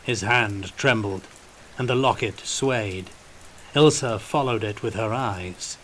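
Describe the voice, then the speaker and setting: A man narrating scripted fiction as an audiobook reader, in a deliberate, measured manner. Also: lowish voice, a bit bright, a little hiss or hum, low-quality recording.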